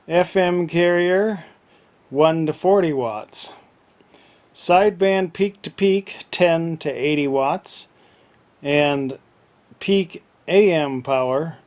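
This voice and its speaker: A man giving a casual how-to narration in a halting, step-by-step way, as an unboxing video presenter. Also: fairly high pitch, a little mellow.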